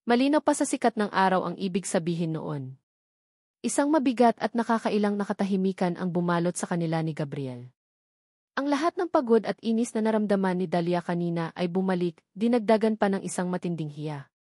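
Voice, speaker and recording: A woman's voice: mid-range voice, lively intonation, brisk, a little mellow, no echo. Woman narrating a love story, dry close sound.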